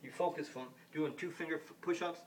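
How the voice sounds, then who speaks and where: A man's voice: fairly high pitch, a little fast. A man in his fifties from the United States, rambling through a solo monologue, often repeating himself and circling back to the same points. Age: 50s. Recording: distant mic with faint background noise.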